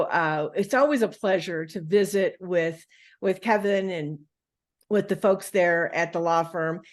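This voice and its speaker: A woman hosts a podcast interview in a mid-range voice. She talks in a chatty, informal way, leaning on fillers and restarts as she introduces her guest. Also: mid-range voice. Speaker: podcast host. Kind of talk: casual interview hosting.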